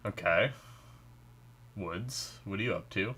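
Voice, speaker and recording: A man's voice: lowish voice, slow pace, bright, forceful tone, husky. A man with a stuffy nose hosting a casual reaction video, rambling and thinking aloud with lots of "like" and "you know".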